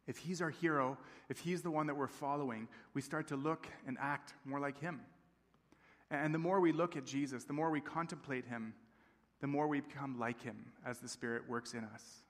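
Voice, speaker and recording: A man in his thirties, a campus pastor raised in Tanzania, preaching a sermon in a friendly, conversational way and often checking in with the congregation. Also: medium pitch. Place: large church sanctuary. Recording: long reverb of a church hall.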